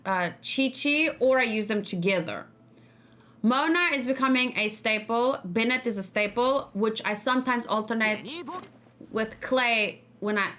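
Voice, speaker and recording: Higher-voiced woman chatting as a game streamer. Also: a bit bright. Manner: opinionated, thinking aloud, addressing viewers. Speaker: gaming streamer. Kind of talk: casual game reaction and discussion.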